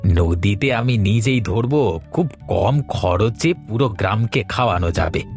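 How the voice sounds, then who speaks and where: A man voicing an eager, easily spooked village husband in a cartoon, chatty and expressive. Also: lowish voice, measured pace, soft background music. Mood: cheerful, eager. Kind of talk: Bengali cartoon dialogue acting.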